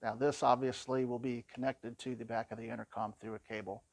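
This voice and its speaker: A man with a somewhat low voice talks through a product demonstration. He explains in plain, conversational language, informally and step by step. The sound is dry, with very little room in it. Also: level tone, brisk, creaky, darkish tone, no echo.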